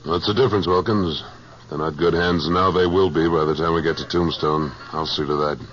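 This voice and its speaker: An actor in a 1950s radio western playing a tough American trail boss, clipped, cool and authoritative. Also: male voice, deep voice, fairly even intonation, brisk, a bit bright. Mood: businesslike, firm. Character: tough, terse, commanding male trail boss. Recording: muffled old radio recording, faint hum.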